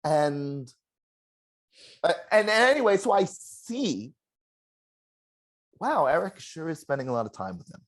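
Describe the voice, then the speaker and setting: Give me a loud-voiced former contestant telling game stories in an animated, self-deprecating way. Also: mid-range voice, measured pace, pauses often. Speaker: eliminated contestant, juror. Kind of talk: casual exit-interview chat.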